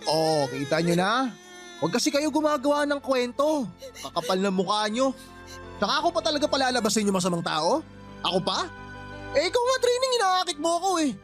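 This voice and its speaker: A lecherous Filipino stepfather for radio drama. He wheedles, leers and blusters, his pitch swinging widely. Light music plays behind him. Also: big pitch swings, fairly quick, fairly bright tone, heavily acted. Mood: smug, accusing. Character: lecherous, menacing, lying live-in stepfather.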